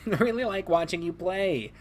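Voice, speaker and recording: A man playing a shy, easily embarrassed teenage boy with a soft, sheepish manner. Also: sits high, animated, a little slow, slight background noise, voice pushed up. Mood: sheepish, earnest.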